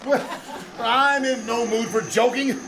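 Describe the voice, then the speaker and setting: A man's voice: extremely high pitch, fairly even intonation, unhurried. A man playing a fussy, selfish, complaining male traveler in a 1940s-style radio comedy, with a pompous manner. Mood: grumbling, miserable. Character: fussy, whining, selfish male bus passenger. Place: small theater stage. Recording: live stage performance with background noise.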